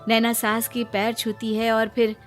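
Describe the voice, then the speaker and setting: A woman's voice: on the high side, monotone, measured pace, soft background music. A woman narrating a scripted story.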